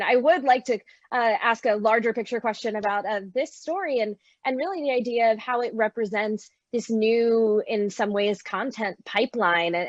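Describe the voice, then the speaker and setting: A woman's voice: mid-range voice, no room sound, muffled recording. A woman moderating a panel in a conversational interview style over a video call. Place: remote online video conversation.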